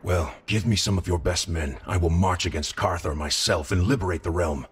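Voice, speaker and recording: An actor playing a proud, determined fallen warrior lord in a medieval fantasy game, speaking with terse self-assurance. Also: male voice, sits low, moderate pace, slightly rough. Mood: resolute.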